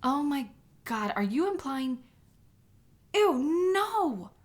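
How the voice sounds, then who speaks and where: Woman acting an anxious, rattled sketch artist, higher-pitched. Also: big pitch swings, slow pace, heavily acted. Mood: indignant, upset. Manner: agitated, emotional. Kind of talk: dramatic audio drama acting.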